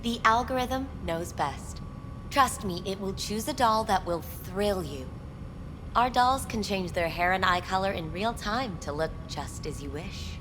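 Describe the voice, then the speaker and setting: A woman acts out an unflappable female receptionist at a futuristic pleasure club in a video game. Her patter is formal and smooth with lively, swooping intonation. A clear rumbling noise sits behind the voice. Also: a little slow, lightly acted. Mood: polished, reassuring. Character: polished, unflappable female receptionist selling a futuristic club's services. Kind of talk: scripted video game acting. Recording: clearly noisy rumbling background.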